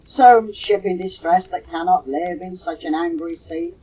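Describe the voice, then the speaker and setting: A woman's voice: measured pace, dark tone, breathy edge, recorded from a distance, poor audio. Woman reciting as a bold sea skipper. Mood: confident, reassuring. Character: bold, scornful sea skipper, protective father. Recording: low-fidelity home audio cassette.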